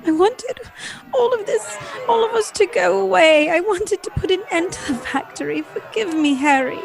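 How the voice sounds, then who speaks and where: A woman's voice: very high voice, moderate pace, gravelly, poor audio. A woman playing an anguished, remorseful wife, earnest and melodramatic in a 1930s-style radio drama. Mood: tearful remorse. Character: anguished, remorseful wife pleading with her husband.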